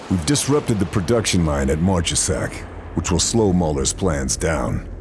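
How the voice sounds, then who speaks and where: An actor playing a grim American sniper who narrates in a terse, matter-of-fact way. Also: male voice, sits low, animated, normal speed, background noise. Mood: determined. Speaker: narrator and lead soldier.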